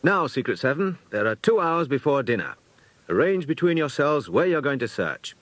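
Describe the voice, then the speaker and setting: A British man voicing an eager, bossy schoolboy who leads a children's club in an audiobook, brisk and keen to organise. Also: mid-range voice, very animated, fairly bright tone, no room sound, pitched above the performer's own voice. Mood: brisk, commanding.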